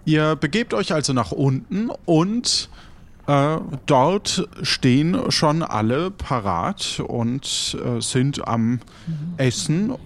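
A man's voice: fairly high pitch, expressive, takes it slowly, a little hiss or hum. A man narrating an improvised audio play as game master, hesitant and searching for his words. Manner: hesitant, stammering repetitions.

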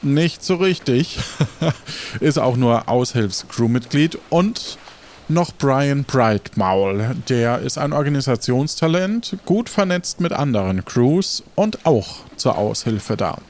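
A man's voice: medium pitch, lively intonation, slow pace, a little mellow. A man hosting an audio role-play game as its game master and narrator. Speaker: game master, podcast host.

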